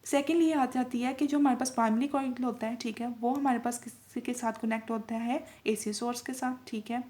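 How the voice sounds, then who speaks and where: A woman's voice: on the high side, fairly even intonation, fairly quick, darkish tone. A woman lecturing informally, explaining step by step with much repetition, frequent check-in tags and English technical terms mixed in. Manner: switches between Urdu-Hindi and English technical terms. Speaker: lecturer in physiotherapy video lessons.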